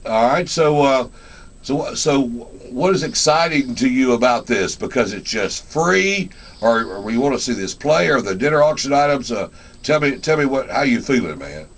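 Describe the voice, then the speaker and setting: A man hosting a live radio interview in a folksy, halting way, often repeating words. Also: mid-range voice, expressive, fairly bright tone. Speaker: radio show host.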